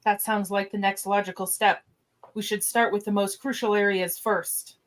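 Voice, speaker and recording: Woman as sensible starship officer, normal pitch, radio play reading. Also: level tone, normal speed, lightly acted. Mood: thoughtful. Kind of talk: amateur podcast radio play reenactment.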